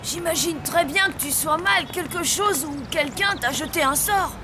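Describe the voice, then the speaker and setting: A woman's voice: very high-pitched, moderate pace, a crowd in the background. A woman voicing an earnest, well-meaning cartoon schoolchild. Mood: concerned. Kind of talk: animated cartoon dub acting. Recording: clear background noise.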